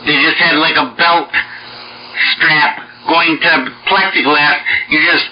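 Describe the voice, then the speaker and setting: Higher-voiced Midwestern man over noisy radio. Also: fairly even intonation, slow pace, lo-fi sound. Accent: American Midwestern. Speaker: amateur radio net participant. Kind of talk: casual radio chat. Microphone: two-way radio. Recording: noisy band-limited shortwave radio transmission.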